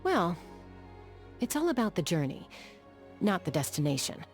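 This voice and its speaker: Game role: battle-hardened young female sky gunslinger. Lower-than-average pitch, calm, matter-of-fact delivery over background music. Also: moderate pace. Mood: relaxed, lightly wry. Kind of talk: video game character voice lines.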